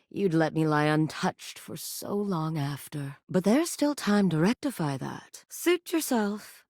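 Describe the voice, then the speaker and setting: A woman's voice: low-pitched, no room sound, studio quality, full character voice. A woman voicing a sultry, teasing living sex toy. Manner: sultry, teasing, suggestive drawl. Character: flirtatious, brazen living sex toy, confident seducer. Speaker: voice actor. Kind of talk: video game character voice lines. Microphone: close studio mic.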